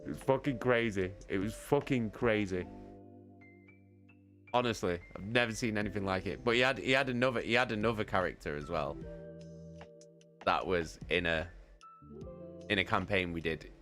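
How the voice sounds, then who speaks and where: A man casually telling a rambling story, excitable and sweary, hammering points home by repeating them. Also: fairly low pitch, normal speed, pauses often, soft background music. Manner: sweary, excitable, emphatic repetition, giggly. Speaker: live streamer telling a story.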